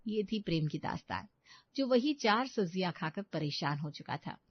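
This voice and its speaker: A woman's voice: on the low side, lively intonation, normal speed, husky, muffled recording. A woman narrating a scripted family story as a storyteller.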